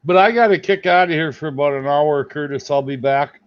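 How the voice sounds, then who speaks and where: A man's voice: on the high side, brisk, clear, resonant tone, dry recording. Plainspoken fifties man on lo-fi call. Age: 50s. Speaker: live stream panel guest. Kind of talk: casual chat. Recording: dull lo-fi audio with faint noise.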